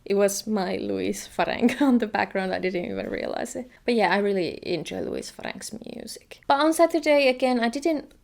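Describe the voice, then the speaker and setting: A woman's voice: medium pitch, expressive, moderate pace, gravelly, hardly any pauses, darkish tone. A young woman from Finland with a Finnish accent, chatting casually in a vlog and laughing often. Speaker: vlogger.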